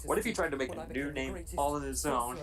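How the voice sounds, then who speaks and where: A man's voice: mid-range voice, a little fast, a crowd in the background. A man giving casual, joking commentary on a film, riffing on ideas as he talks. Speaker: fan dub commentator.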